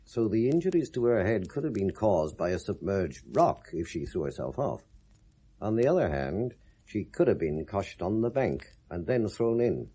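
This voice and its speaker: Genial, husky, low-voiced British police inspector. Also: male voice, big pitch swings, moderate pace, warm tone. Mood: casually probing. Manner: affable, wry, casually probing. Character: genial, probing middle-aged male police detective inspector. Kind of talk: 1970s British radio drama acting.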